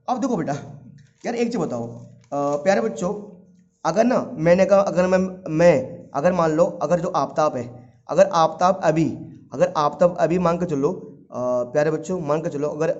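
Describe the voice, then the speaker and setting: A man's voice: fairly high pitch, normal speed, a little mellow. A man gives an informal maths lesson. He goes over each step repeatedly, asking his students questions and answering them himself. The audio is dull and lo-fi. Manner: addresses students fondly as children. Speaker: maths teacher.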